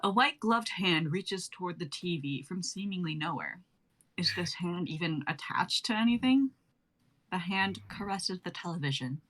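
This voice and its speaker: A woman's voice: fairly low pitch, animated, moderate pace, fairly bright tone, rough recording. A young woman reading stage directions for a comedy sketch in a theatrical, irreverent, declamatory way. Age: young adult. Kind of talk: theatrical comedic script narration.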